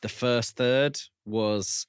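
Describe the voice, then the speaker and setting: A man co-hosting a podcast, thinking aloud in a measured, tentative way during a casual book discussion. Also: medium pitch, fairly even intonation, smooth, dry recording. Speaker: podcast co-host reviewing books.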